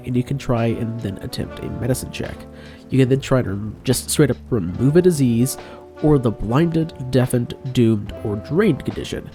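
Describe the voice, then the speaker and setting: Brief: congested male guide narrator, mellow nasal tone, faint guitar music under him. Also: mid-range voice. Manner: enthusiastic, plain explaining. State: congested from a cold. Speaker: game guide creator. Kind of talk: explanatory voice-over over slides. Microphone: new microphone.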